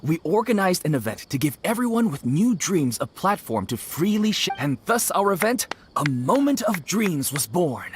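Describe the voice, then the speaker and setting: A woman's voice: lowish voice, sing-song, normal speed, hardly any pauses, background noise. A woman voicing a polite, eager young festival organizer in a fantasy video game, speaking in an earnest, welcoming manner. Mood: welcoming, nostalgic. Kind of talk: video game dialogue acting.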